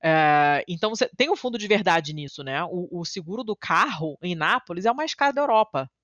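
A woman's voice: fairly quick, no room sound, lo-fi sound. A woman from Rio de Janeiro, chatting casually and animatedly, swearing and poking fun at herself. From Rio de Janeiro, Brazil. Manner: animated, swearing, self-mocking, jumps to high pitch when excited. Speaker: podcast guest living in Italy. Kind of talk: casual conversation.

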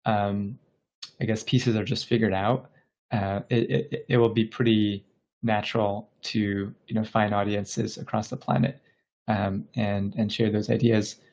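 A man's voice: on the low side, little pitch movement, a little slow, a little mellow. A man from Bangladesh speaking as an interview guest, explaining things casually and thoughtfully, often searching for his words. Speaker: tech company founder interviewed as podcast guest.

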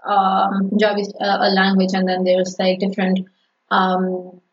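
Woman, Pakistani-born, native Urdu speaker; casual podcast chat, halting with fillers; muffled sound. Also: mid-range voice, completely flat delivery, a little hiss or hum. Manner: hesitant, many fillers and restarts. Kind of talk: casual conversation. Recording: dull lo-fi podcast audio.

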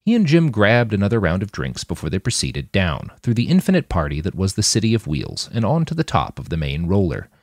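Deep-voiced man reading fiction, very animated pitch. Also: a little fast, few pauses, no echo, clean studio sound. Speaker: author reading own fiction podcast. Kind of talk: expressive scripted audiobook narration.

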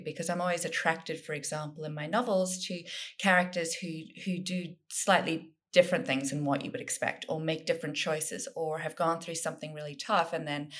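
A woman from South Africa, a guest author in a casual interview, thinking aloud with plenty of ums and restarts. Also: deep voice. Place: home in Sydney.

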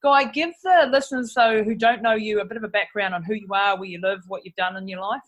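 A woman's voice: medium pitch, fast talker. A woman hosting a podcast interview over a video call, chatty and enthusiastic. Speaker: podcast host.

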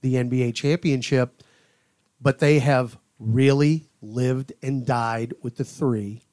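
A man's voice: medium pitch, fairly even intonation, takes it slowly, a little mellow, no room sound, studio quality. A man giving conversational sports analysis as a podcast panelist, speaking emphatically and repeating key points.

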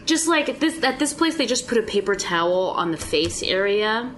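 A young woman, a TV actress, chatting casually as a guest, with quick laughing asides. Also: mid-range voice, sing-song, fairly bright tone, slight background noise. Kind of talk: casual chat.

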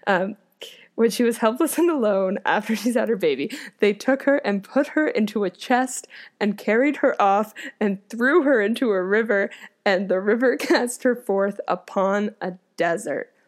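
A woman's voice: medium pitch, normal speed. A young woman reading a folk tale aloud and breaking off for casual, amused, conversational asides, often chuckling.

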